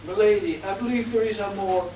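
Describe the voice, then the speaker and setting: A man plays a clever, long-suffering butler in a comic radio play. He sounds high, dry and deferential, recorded at a distance in a noisy, echoey room. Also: fairly even intonation, brisk, warm tone, lightly acted.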